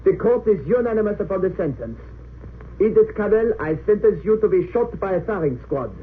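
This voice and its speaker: A man's voice: sits high, lively intonation, a little fast. An actor in an old-time radio drama playing a stern, sneering German military judge, imperious and sarcastic as he questions and pronounces. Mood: stern, pronouncing. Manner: imperious, sarcastic, clipped interrogation. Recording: tinny narrow-band old radio recording, hissy.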